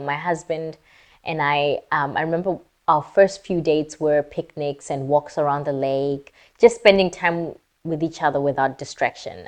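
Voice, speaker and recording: Chatty woman from lesotho, lowish voice, playful casual q&a vlog style. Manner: chatty and playful, girly slang asides. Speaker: vlogger. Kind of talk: casual Q&A chat to camera.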